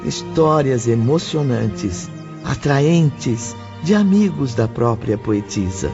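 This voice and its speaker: Slow male narrator, solemn n poetic, audio novel, music loud behind, bit dull sound. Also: mid-range voice, expressive.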